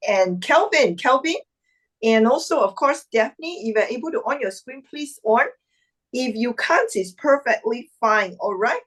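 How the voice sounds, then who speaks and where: A woman's voice: high-pitched, low-quality recording. A woman hosting an online club meeting over a video call, introducing guests in an upbeat, exclamatory way.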